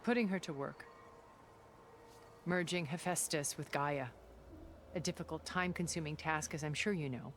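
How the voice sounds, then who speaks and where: A woman playing a cultured, ancient recluse, measured and regretful. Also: deep voice, a little hiss or hum, lightly acted. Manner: calm, deliberate, elegant phrasing. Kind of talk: video game cutscene dialogue. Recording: game cutscene with music underneath.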